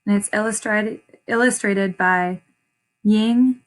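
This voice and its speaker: A woman reading a picture book aloud like a teacher, deliberate and expressive, pausing to explain and repeat phrases for young listeners. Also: medium pitch. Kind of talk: children's read-aloud with short explanations.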